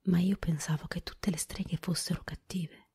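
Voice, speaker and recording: A woman's voice: on the low side, steady tone, moderate pace, warm tone, breathy edge. A woman whispering as a timid little girl. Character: innocent young girl, timid and wondering.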